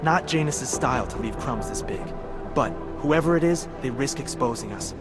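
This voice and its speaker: An actor playing an anxious, sardonic covert agency colleague in a video game cutscene, nervous and wry. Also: male voice, medium pitch, animated, moderate pace, loud music behind the voice, lightly acted. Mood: wry, worried.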